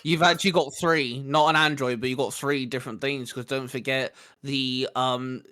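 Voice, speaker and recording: A man's voice: medium pitch, fairly even intonation, moderate pace, bright, forceful tone, clean tone, dry recording, clean studio sound. A man co-hosting a casual podcast, steering the discussion and reading out a list. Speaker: co-host leading the questions.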